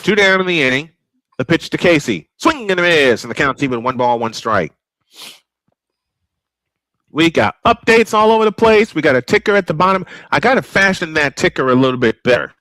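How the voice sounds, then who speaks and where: A man's voice: a little high, animated, fairly quick, fairly bright tone, dry recording, poor audio. A man calling live baseball play-by-play in a folksy, old-school broadcaster cadence. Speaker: play-by-play announcer.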